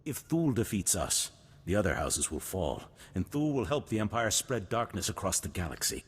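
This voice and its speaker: An actor playing a noble, resolute duke in a video game, husky, formal and stately in delivery. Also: male voice, on the low side, sing-song, fairly quick. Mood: grave, determined. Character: noble, resolute older duke, solemn war leader. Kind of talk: cinematic video game voice acting. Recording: long hall reverb, music behind.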